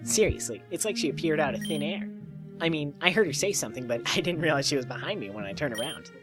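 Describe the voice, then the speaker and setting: A man's voice: on the high side, animated, quick pace, music in the background. A man hosting a let's play, reading a visual novel aloud with jokey, irreverent asides. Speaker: let's play host and reader.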